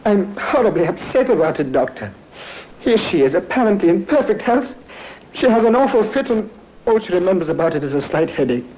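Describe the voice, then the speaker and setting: An actor playing a smooth-talking, scheming husband who sounds tenderly devoted, in old-time theatrical radio mystery style. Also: male voice, high-pitched, big pitch swings, fairly quick. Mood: distraught. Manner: theatrical, solicitous. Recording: dull, noisy old radio recording.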